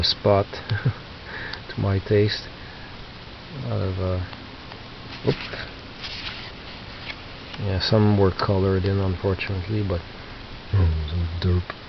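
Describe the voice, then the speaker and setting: A man in his fifties with a slightly low voice talks through his flea market finds in a halting, rambling way, full of fillers and restarts. The recording sounds a little muffled, with a faint hiss underneath. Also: vocal fry. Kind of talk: casual rambling show-and-tell.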